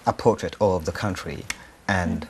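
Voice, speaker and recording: A man's voice: low-pitched, steady tone, moderate pace, husky. A man from Rwanda answers interview questions conversationally, hesitating as he thinks aloud. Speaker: actor-writer being interviewed.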